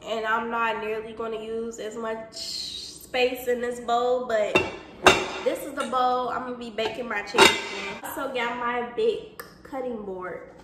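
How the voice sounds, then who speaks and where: A young woman talking viewers through a home cook-along in casual, chatty speech, calling them y'all and boo. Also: a little high. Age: young adult. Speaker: cooking vlogger. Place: home kitchen, indoors. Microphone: camera on counter, at a distance. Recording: echoey kitchen with noticeable background noise.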